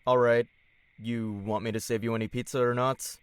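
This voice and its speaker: A man's voice: medium pitch, flat delivery, moderate pace, clean tone, dry recording, natural voice. A man playing a blunt, laid-back teenage gamer son in an audio drama, talking in offhand slang. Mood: casual.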